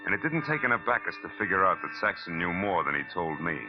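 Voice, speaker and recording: A man's voice: sits low, very animated, fast, over music. A man acting a hard-boiled private detective in a 1940s radio noir, wry, sardonic and slangy, in both dialogue and narration. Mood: wry narrating. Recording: muffled, very noisy old radio recording.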